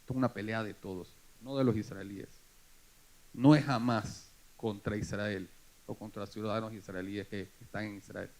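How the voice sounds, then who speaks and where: A man's voice: on the low side, steady tone, normal speed, darkish tone, rough recording. A man speaking earnestly and emphatically as a forum panelist.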